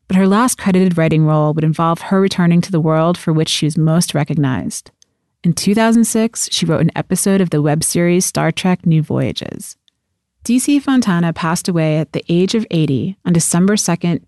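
A woman's voice: fairly low pitch, mellow, dry recording, studio quality. A woman reading scripted documentary narration as the narrator.

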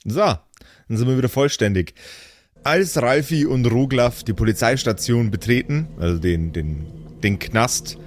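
A man narrating an improvised tabletop audio drama as game master, deliberate, repeating and rephrasing as he goes. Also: mid-range voice, expressive.

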